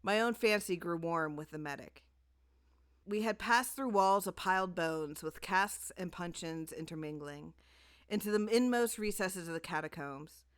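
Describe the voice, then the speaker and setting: Lower-pitched woman reading gothic fiction. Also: normal speed, clear, resonant tone. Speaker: story reader. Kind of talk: dramatic scripted narration of gothic fiction.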